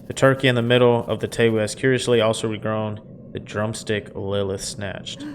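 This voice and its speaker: A woman with an extremely deep voice reads a visual novel's narration. She drops in offhand, casual reactions, and there is some background noise. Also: flat delivery, moderate pace.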